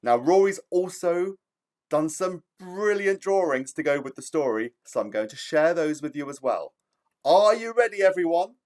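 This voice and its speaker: A man's voice: on the high side, animated, normal speed, a little mellow. A man, a children's author, speaking to young children in an enthusiastic, theatrical storytelling style with emphatic delivery.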